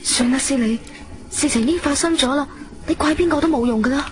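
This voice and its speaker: An actress with a Cantonese accent playing a caring, steady wife in a radio drama, soothing and earnestly urging calm. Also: female voice, sits high, a little fast, a little hiss or hum, natural voice.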